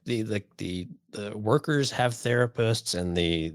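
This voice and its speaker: Wry man with a lowish voice. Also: slow pace, no echo, clean studio sound. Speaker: podcast co-host. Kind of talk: casual opinionated chat.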